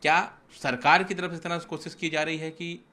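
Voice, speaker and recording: Bright-voiced male TV news interviewer. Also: a little high, fairly even intonation, fairly quick. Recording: noisy location sound, background ambience.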